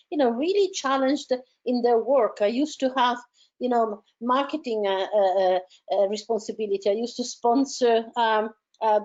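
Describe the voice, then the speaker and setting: Woman, hesitant with many restarts, coaching talk, slightly high pitch, dull lo-fi audio. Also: darkish tone. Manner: hesitant, many repeated words and fillers. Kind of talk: advisory talk on coping with stress.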